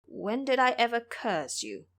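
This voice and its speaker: A woman's voice: on the high side, sing-song, unhurried, exaggerated voice. A woman voicing a stern, cautious male monk master in an audio storybook drama, questioning and admonishing.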